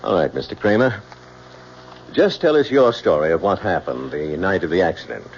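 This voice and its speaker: Stern male coroner, low and deliberate, official phrasing, muffled vintage broadcast sound. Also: lively intonation. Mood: formal, official. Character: stern, formal male coroner presiding over an inquest. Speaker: actor in a radio drama. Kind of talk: old-time radio drama acting. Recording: muffled old radio recording, faint noise.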